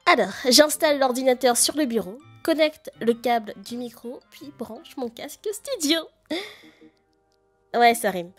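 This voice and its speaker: High-voiced jokey woman. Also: measured pace, soft background music. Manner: jokey, lively. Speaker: fandub voice actress. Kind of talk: casual recorded answer.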